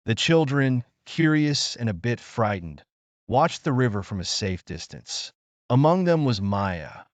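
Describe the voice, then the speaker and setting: Male narrator for children's tales, lowish register, highly animated intonation, earnest and measured. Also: dry recording, highs cut off. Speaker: storyteller. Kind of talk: scripted children's story narration.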